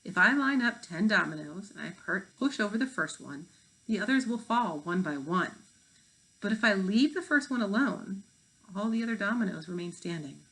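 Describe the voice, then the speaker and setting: A woman's voice: medium pitch, expressive, normal speed, a bit bright, poor audio. A woman reading the part of a philosophical fifth-grade boy in a thoughtful, precise manner.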